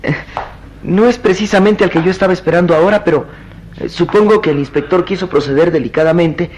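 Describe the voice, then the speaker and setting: A man playing a tender, troubled young gentleman doctor in a radio drama, with an earnest, deliberate delivery. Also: high voice, slight background noise, natural voice, poor audio. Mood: resigned. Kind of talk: Latin American radio drama acting.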